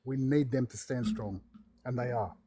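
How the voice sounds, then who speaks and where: A man's voice: mid-range voice, moderate pace, warm tone, slight background noise. A man from Lebanon, talking as a caller in a heated casual debate, emphatic and prone to repeating himself. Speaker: caller in a live debate stream.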